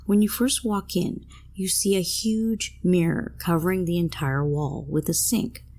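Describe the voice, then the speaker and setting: A woman's voice: lowish voice, steady tone, unhurried, darkish tone. A woman narrating a scripted first-person scary story, read out as a storyteller. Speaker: narrator of listener-submitted scary stories.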